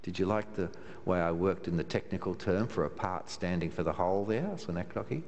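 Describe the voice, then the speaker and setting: An older man, a minister, giving a measured sermon from the pulpit of a large church, with a wry touch and slow, emphatic pauses. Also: deep voice, expressive, darkish tone, muffled recording. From Australia. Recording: long church reverb, faint room hiss.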